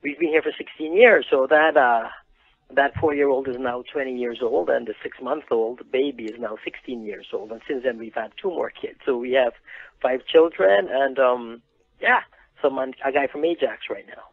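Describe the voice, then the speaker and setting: A man's voice: mid-range voice, normal speed, no echo. A middle-aged man with a Guyanese accent telling his story conversationally as an interview guest over a phone call. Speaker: interview guest, print business owner. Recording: tinny phone line.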